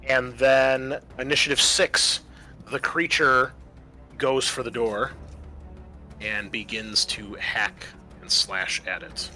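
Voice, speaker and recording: A man's voice: mid-range voice, fairly even intonation, takes it slowly, sharp tone, faint music behind, low-quality recording. A man acting as game master, running a tabletop roleplaying session for players.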